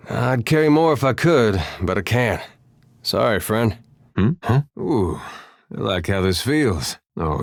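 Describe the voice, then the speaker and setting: A man's voice: on the low side, dry recording, studio quality. A man voicing an easygoing, wry farmer turned adventurer for a video game, with a folksy, drily humorous way of talking.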